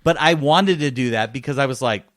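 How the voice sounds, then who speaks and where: Male co-host for a casual gaming podcast, average pitch, conversational, recorded very dry. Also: a little fast, no echo. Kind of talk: casual video game chat.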